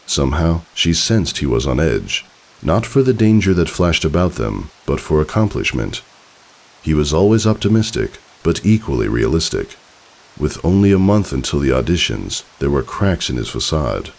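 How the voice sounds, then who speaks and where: A man reading a story aloud as narrator, in an expressive, theatrical, dramatic style. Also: extremely low pitch, normal speed, vocal fry. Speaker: fan fiction narrator.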